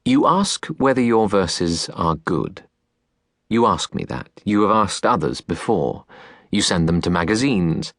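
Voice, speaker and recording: A man narrating a literary audiobook of letters in a measured, earnest manner with deliberate phrasing, recorded in a studio. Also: deep voice, expressive, a bit bright, no room sound. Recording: dry, close studio recording.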